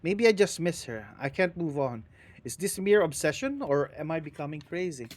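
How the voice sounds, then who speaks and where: A man's voice: fairly high pitch, big pitch swings, normal speed, dry recording. A man reading as a grieving, shaken professor. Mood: haunted. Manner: shaken, anguished first-person retelling. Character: grieving middle-aged male professor recalling horror.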